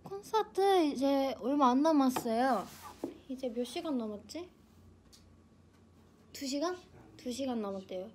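A young woman in her twenties chatting playfully on a phone livestream, teasing and answering comments. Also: a little hiss or hum. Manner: playful and teasing, bursts into high shouts and laughs. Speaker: idol livestream host. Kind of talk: casual livestream chat reading comments. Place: small bright indoor room, leaning on a table. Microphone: phone held close at arm's length.

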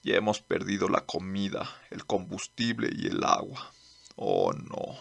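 A man voicing a gruff, practical older desert traveller in a manga dub, gravelly and matter-of-fact. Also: low voice, wildly swooping pitch, measured pace, a bit bright, full character voice. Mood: dismayed. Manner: firm, matter-of-fact, instructing. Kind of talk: amateur manga dub acting.

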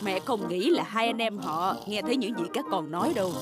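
A woman's voice: medium pitch, animated, fairly quick, loud music behind the voice, close to an everyday voice. A woman voicing a kind, encouraging mother pig in a children's cartoon dub.

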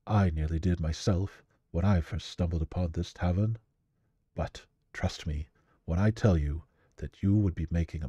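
Man playing a deep husky old tavern keeper. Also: mellow, no echo, dull audio. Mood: solemn, reassuring. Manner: solemn, formal, reassuring. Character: weary, kindly old male tavern keeper. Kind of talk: measured letter narration in fantasy audio roleplay.

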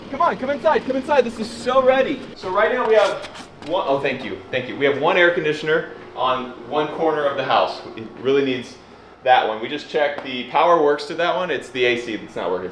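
A man's voice: medium pitch, fairly bright tone, echoey room, slight background noise, rough recording. A man in his thirties giving a casual, joking walkthrough vlog of an old stone house, recorded on a camera mic. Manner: upbeat, joking, wry. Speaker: vlogger.

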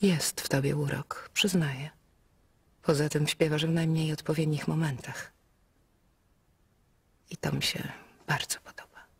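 An actress playing Jacqueline, a sharp, probing woman, in a radio drama, speaking in a reproachful half-whisper. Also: sits low, fairly even intonation, a little slow, long pauses, husky, full character voice. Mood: teasing. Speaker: actor in a radio play.

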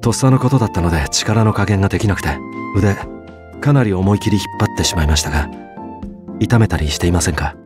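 An actor voicing a soft-spoken, courteous young male café manager in a romance game, with background music. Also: deep voice, rapid-fire, natural voice. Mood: apologetic.

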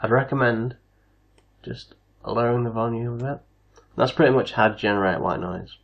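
A man hosting a casual software tutorial, explaining things step by step. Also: medium pitch, moderate pace, gravelly, muffled recording. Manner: step-by-step instructional. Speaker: tutorial host.

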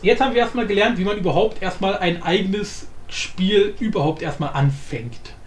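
A man giving a casual software tutorial in an informal, blunt, rambling way. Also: high voice, moderate pace. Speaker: tutorial presenter. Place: indoor room, voice-over for screen recording. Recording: roomy echo, light background noise.

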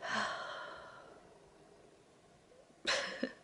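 A woman in her thirties whispers softly into a camera held close to her face. She sounds tired and congested. She talks slowly and low-key in her living room. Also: slight background noise. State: congested and fatigued. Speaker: vlogger. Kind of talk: casual morning vlog talk. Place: living room at home, indoors.

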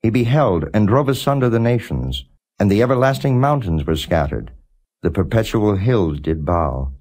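A man giving a non-dramatized scripture reading as a narrator, in a measured, solemn and formal way. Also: lowish voice, very animated, a little mellow. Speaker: Bible reader, audiobook narrator.

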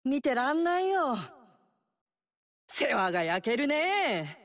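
A woman's voice: high-pitched, big pitch swings, slow, exaggerated voice. An actress playing a fiery, confident warrior queen in a video game, with brash, teasing, tomboyish lines.

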